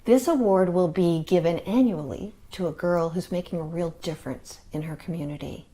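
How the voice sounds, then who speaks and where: Woman announcing an award, lowish voice. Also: moderate pace, warm tone. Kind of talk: scripted announcement.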